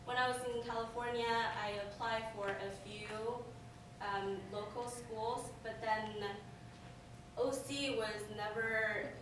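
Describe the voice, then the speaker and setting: A young woman with a Chinese accent, a former student giving a halting presentation full of ums and restarts. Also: on the high side, fairly even intonation. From China. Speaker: alumni speaker. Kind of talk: presentation talk with slides. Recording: echoey room from far off, noise.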